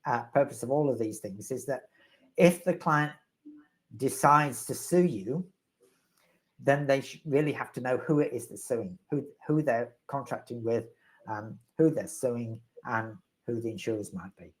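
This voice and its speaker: A man's voice: mid-range voice, unhurried, poor audio. A man answering questions as the resident expert in a live webinar, rambling and wry, with self-deprecating humor.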